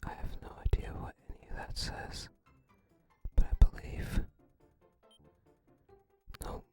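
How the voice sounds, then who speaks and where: This is a fully whispered voice, breathy and soft, in an ASMR style. The speaker goes very slowly, musing aloud in a casual, unhurried way. Faint music sits behind the whisper. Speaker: ASMR video creator. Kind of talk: whispered ASMR, casual unboxing and game commentary.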